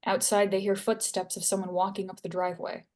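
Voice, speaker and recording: A woman's voice: mid-range voice, flat delivery, a little fast, a little mellow. A woman reading stage directions and scene headings as narrator at a screenplay table read.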